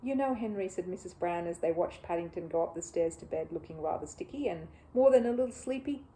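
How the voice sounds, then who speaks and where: A woman narrating a children's story as an animated audiobook reader. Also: fairly low pitch, brisk, poor audio.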